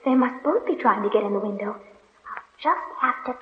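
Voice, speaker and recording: Lady doing a high polite curious little girl talking to herself, muffled old radio. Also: lively intonation, normal speed, a little hiss or hum, natural voice. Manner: polite, wondering, talks to herself. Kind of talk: 1940s radio acting. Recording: dull old radio recording.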